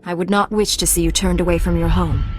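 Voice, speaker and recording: A woman's voice: on the low side, steady tone, fast, a little mellow, lightly acted. A woman playing a resolute, candid knight in a video game, with a firm, direct manner. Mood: composed, frank. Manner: firm, self-assured, direct. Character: resolute, candid young female Jedi knight. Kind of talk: scripted video game dialogue.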